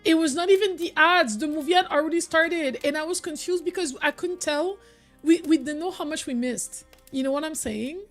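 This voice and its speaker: High-voiced chatty woman streamer. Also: moderate pace, faint music behind. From Quebec, originally Cameroon. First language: French. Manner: chatty, lots of "like" and repeats. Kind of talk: casual live-stream chat.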